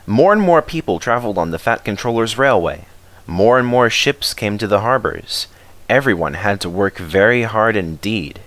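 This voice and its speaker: Male narrator for children's storybook, lively varied pitch, close dry recording. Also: normal speed, no echo.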